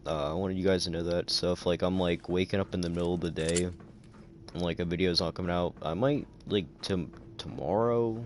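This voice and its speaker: A tired man with a deep voice and a dry throat, rambling in casual livestream chat full of fillers. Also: fairly even intonation, brisk, slight background noise.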